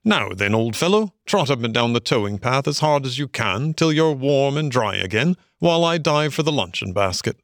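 A man voicing a capable, good-natured male water rat in a storybook, with a brisk, hearty, avuncular manner. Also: medium pitch, lively intonation, no room sound, clean studio sound, lightly acted. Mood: brisk, kindly. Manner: brisk, hearty, avuncular, old-fashioned British phrasing. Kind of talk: storybook character voice.

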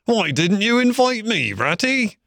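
A man's voice: high-pitched, sing-song, measured pace, vocal fry, fairly bright tone, no echo, clean studio sound, pitched above the performer's own voice, full character voice. A man voicing a blunt, sociable, gossipy otter in an animal storybook, with a breezy, chattering manner. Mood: blustering.

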